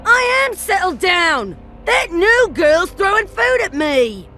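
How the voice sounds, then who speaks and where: A woman's voice: very high voice, sing-song, slow pace, sharp tone, faint music behind, heavily acted. A woman voicing a bratty, whiny child bully with a taunting manner in a video game. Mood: indignant. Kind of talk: video game cutscene acting.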